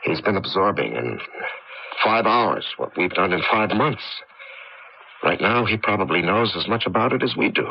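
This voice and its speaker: An actor playing an anxious, earnest amateur inventor in a radio drama, talking with hesitant but emphatic delivery. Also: male voice, deep voice, lively intonation, moderate pace, gravelly. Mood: uneasy. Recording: dull, noisy old radio broadcast.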